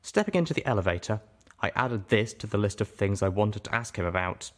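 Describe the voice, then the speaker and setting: A man reading a first-person story aloud as an expressive audiobook narrator. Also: lowish voice, brisk. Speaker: audiobook reader.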